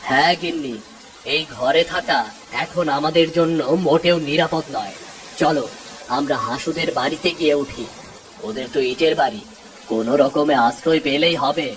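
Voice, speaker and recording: Woman playing a low-voiced, weary poor village father. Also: moderate pace, slight background noise, close to an everyday voice. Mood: worried. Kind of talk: cartoon voice acting. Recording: music under the voice.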